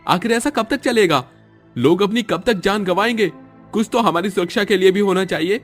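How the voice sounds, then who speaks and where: A man's voice: high-pitched, a little fast, soft background music. A man voicing an earnest, frustrated young village man who complains in a cartoon story. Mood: indignant.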